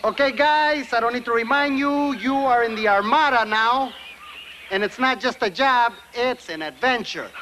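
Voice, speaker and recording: Cocky, high-voiced sidekick. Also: male voice, moderate pace, pushed and bright. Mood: bossy. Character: cocky, sarcastic Spanish sidekick and second-in-command. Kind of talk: broad comic TV acting. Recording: noisy VHS tape with ambience.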